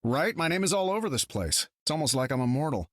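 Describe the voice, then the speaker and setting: An actor playing a self-pleased, rambling inventor hero in a video game, quick-witted and chatty. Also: male voice, on the high side, very animated, dry recording, studio quality. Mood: smug.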